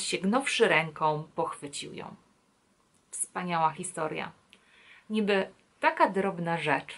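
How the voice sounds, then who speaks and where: A woman in her thirties presenting a children's Bible lesson, reading aloud and explaining expressively. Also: lowish voice. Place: small indoor room at home.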